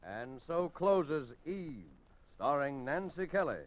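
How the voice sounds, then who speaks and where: A man's voice: fairly high pitch, sing-song, takes it slowly, highs cut off. A man announcing in 1950s radio style on an old broadcast. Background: loud music.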